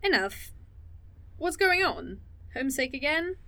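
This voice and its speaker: Conscientious woman, higher-pitched, caring yet conflicted, natural audio drama dialogue, dry close sound. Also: sing-song, a little slow, pushed and bright, dry recording, heavily acted. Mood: friendly, caring.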